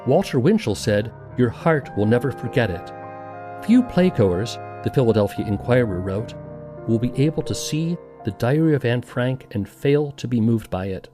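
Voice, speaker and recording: A man's voice: medium pitch, lively intonation, normal speed, music in the background. A man hosting and narrating a documentary podcast from a script.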